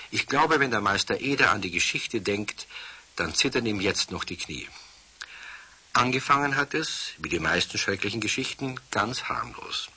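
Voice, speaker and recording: A man playing the storyteller of a children's radio play, husky, narrating in a measured and gently wry way. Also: fairly low pitch, heavily acted.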